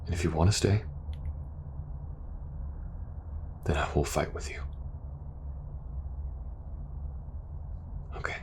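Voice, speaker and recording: A man playing a devoted, anxious young soldier in an intimate audio roleplay, speaking in a hushed, hesitant, tender way. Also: very deep voice, racing, background noise. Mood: tender, earnest.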